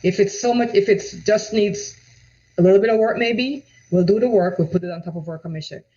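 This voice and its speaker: A woman's voice: medium pitch, a little fast, mellow. Jamaican-accented woman real estate agent, pushy rhetorical sales talk, dull lo-fi recording. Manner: persuasive, rhetorical questions, repeats "right?". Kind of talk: direct-to-camera sales pitch. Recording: dull lo-fi audio.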